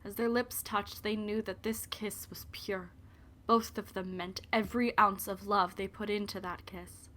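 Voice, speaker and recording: A woman's voice: medium pitch, fairly even intonation, measured pace, sharp tone. A young woman giving scripted narration for an audio drama about characters, as the storyteller. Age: young adult.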